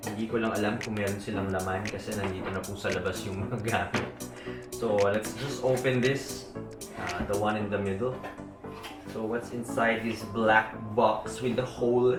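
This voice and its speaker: A man's voice: lowish voice, fairly even intonation, moderate pace. A man with a Filipino accent gives a casual unboxing commentary, chatting in mixed English and Tagalog and often tagging his sentences with "no?". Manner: chatty Taglish with frequent "no?" tags. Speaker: motovlogger. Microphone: small handheld camera mic. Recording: echoey room. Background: background music.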